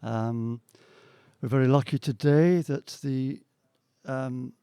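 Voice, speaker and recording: A middle-aged or older man hosts a poetry reading in a halting, informal way. His voice is gravelly and mellow. The sound is quite dry and close. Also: mid-range voice, fairly even intonation, no echo. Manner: hesitant, halting. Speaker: event host introducing poets. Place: outdoors at a gallery event.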